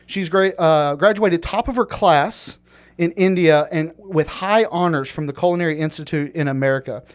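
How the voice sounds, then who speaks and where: A man's voice: a little high, moderate pace, smooth. A man hosting a podcast, talking in a rambling, casual way with lots of fillers. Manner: rambling, many fillers and restarts. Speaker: podcast host. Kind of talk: casual chat.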